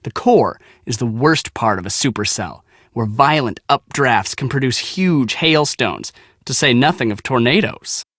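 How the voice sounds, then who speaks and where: An actor playing a cocky, excitable young American storm-chasing photographer in a video game, slangy, boastful and dramatic. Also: male voice, medium pitch, a little slow, dry recording, studio quality. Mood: dramatic storytelling. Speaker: video game character, storm team media guy. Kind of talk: animated casual game dialogue.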